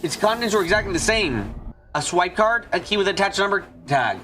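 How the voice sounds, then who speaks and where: A man's voice: high voice, very animated, fairly quick, pushed and bright, soft background music. A man reading scripted visual novel narration as a fan dub streamer.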